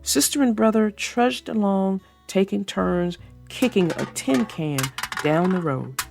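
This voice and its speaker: A woman narrating a children's picture book aloud in an expressive storytelling style. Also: mid-range voice, unhurried, gravelly, faint music behind.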